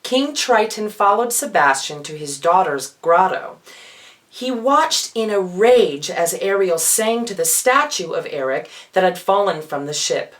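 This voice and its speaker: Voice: mid-range voice, expressive, measured pace, a bit bright. A feminine-sounding storyteller reads a children's storybook theatrically, with playful asides. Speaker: storyteller, drag performer. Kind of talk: dramatic children's storybook reading.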